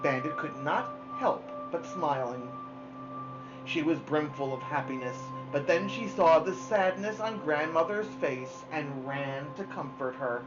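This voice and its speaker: A woman reading a children's novel aloud expressively, with dramatic pauses. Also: low-pitched. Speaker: storybook reader. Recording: dull lo-fi sound, music behind. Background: music.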